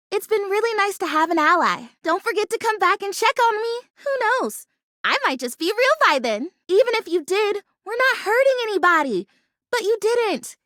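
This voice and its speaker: A woman's voice: very high-pitched, fairly bright tone, dry recording, clean studio sound. A woman voicing an anxious, hopeful artificial houseplant in a video game, chatty and earnest with a quirky turn. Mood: pleased. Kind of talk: animated video game voice acting.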